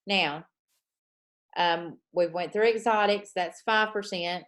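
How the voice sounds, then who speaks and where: A woman teaching a trading lesson, dictating slowly and repeating points, often checking in with "okay?". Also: on the low side, a bit bright. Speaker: forex trading coach.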